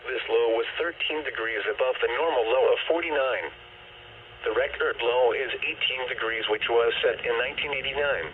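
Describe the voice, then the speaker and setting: A male weather radio announcer comes through a narrow, tinny radio signal with light noise. His voice is mid-pitched and synthetic, reading forecasts and data in a formal, measured, automated way. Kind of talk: scripted marine forecast and weather report reading. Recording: noisy, tinny, band-limited weather radio broadcast.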